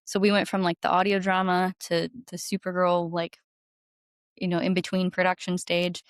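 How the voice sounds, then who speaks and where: A woman's voice: lowish voice, completely flat delivery, moderate pace, clean tone, no echo, clean studio sound. A woman talking casually and enthusiastically as a guest in an interview, effusive about her creative work. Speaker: podcast guest, singer-songwriter and audio drama creator.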